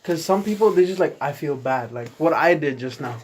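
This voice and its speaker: A man's voice: on the high side, expressive, fairly quick, a little hiss or hum. A young man from Saudi Arabia, talking casually as a podcast guest, loose and rambling with lots of "like". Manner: loose, rambling, many likes. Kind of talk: casual chat.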